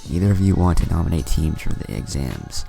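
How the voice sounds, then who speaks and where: A man voicing a stern old ninja village leader in a story reading, gravelly and formal. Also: extremely low pitch, very animated, a little fast, warm tone, faint music behind, exaggerated voice.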